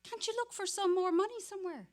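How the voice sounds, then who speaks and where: A woman's voice: extremely high pitch, fast talker. A woman playing a persistent, cheeky young daughter in a dramatic stage reading. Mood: insistent. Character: persistent, cheeky young daughter pressing her father for money.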